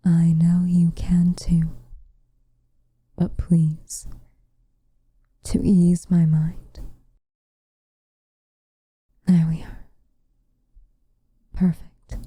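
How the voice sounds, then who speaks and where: A woman playing a tender, protective witch with a dry teasing streak in an intimate ASMR roleplay, speaking softly and breathily, close to the mic. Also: on the low side, flat delivery, no echo, heavily acted. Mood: tender, concerned. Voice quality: soft, breathy, near-whispered. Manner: gentle, measured, quietly teasing. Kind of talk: intimate ASMR roleplay, scripted character acting. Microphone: close studio mic, dry.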